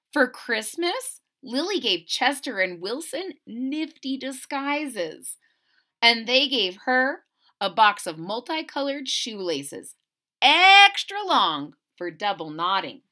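A woman reading a picture book aloud expressively, pausing to explain and ask young listeners simple questions. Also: on the high side. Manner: animated, explanatory, addressing children with questions. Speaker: reader of a children's picture book.

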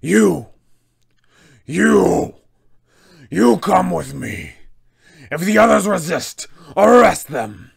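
A man's voice: sits high, big pitch swings, extremely slow, gravelly, pauses often, slightly rough, voice pushed up, full character voice. A man voicing a big, menacing villain enforcer from a tabletop game, threatening and commanding in his delivery. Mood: barking orders. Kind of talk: acted tabletop villain dialogue.

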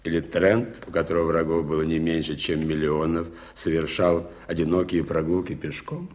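An actor playing a polite, well-informed gentleman in a Soviet radio play, speaking in a measured, explanatory way. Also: rumbling low, little pitch movement. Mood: earnest, explanatory. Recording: noisy 1980s radio play recording.

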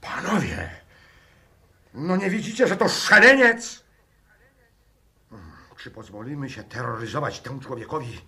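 An actor playing an indignant, domineering, hot-headed professor in a 1960s radio drama, emphatic and scornful. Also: male voice, mid-range voice, big pitch swings, a little slow, pauses often, pushed and bright, full character voice. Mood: outraged.

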